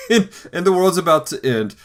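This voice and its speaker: A man co-hosting a podcast, explaining things in a halting, conversational way as part of a casual discussion. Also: high-pitched. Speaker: podcast co-host.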